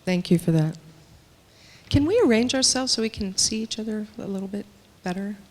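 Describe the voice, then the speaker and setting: A woman's voice: medium pitch, lively intonation, brisk. Woman speaking in a reverberant hall. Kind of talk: panel discussion. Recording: long reverb of a large hall.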